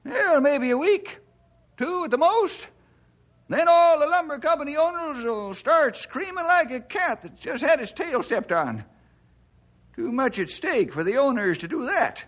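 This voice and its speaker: An actor playing a folksy, excitable old sidekick in a radio drama, talking like a rustic old-timer. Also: male voice, very high-pitched, unhurried, full character voice. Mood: wry, agreeing. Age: older.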